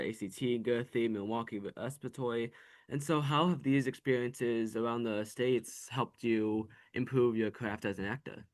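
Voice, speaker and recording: A man hosting a podcast interview, putting prepared questions to his guest. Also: mid-range voice.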